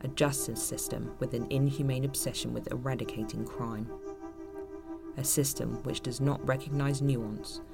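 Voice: mid-range voice, vocal fry, lightly acted. An actor narrating a dystopian audio drama in a solemn, grave manner, as scripted storytelling. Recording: loud music under the voice. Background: music.

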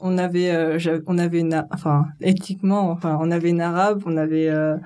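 A woman's voice: fairly low pitch, fairly even intonation, a little fast, dark tone. A young woman from France chatting casually in a slangy way, with lots of fillers. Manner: chatty, loose slangy speech full of fillers. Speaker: interviewee. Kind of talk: casual conversation.

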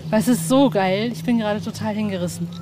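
A woman's voice: mid-range voice, fast. An enthusiastic woman hosting a podcast on location outdoors, chatting casually and in slang. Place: outdoors at a castle tower. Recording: faintly noisy outdoor location recording.

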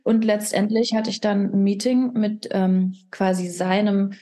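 A woman's voice: mid-range voice, little pitch movement, a little slow, mellow. A young woman talking candidly as a podcast guest, rambling and dropping English phrases into her speech. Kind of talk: casual podcast conversation.

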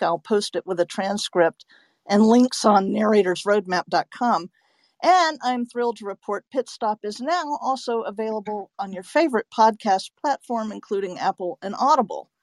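A woman's voice: mid-range voice, normal speed, no room sound. A woman hosting a live audio chat show in a conversational way. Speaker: host.

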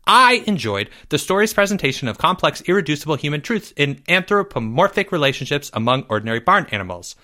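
A man voicing a precocious, bookish schoolboy lecturing briskly and pompously in wordy sentences for a story. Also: on the high side, sing-song, sharp tone, voice pushed up.

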